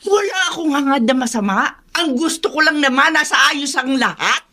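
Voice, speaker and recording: A woman's voice: high voice, expressive, brisk, sharp tone. A Filipino woman playing a domineering, reproachful elderly mother-in-law in a radio drama, scolding and insistent. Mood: scolding, self-justifying.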